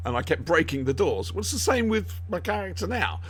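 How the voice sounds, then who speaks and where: A man's voice: sits high, sing-song, brisk, husky. A man hosting a podcast in casual, jokey chat, often rambling and losing his thread. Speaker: podcast host.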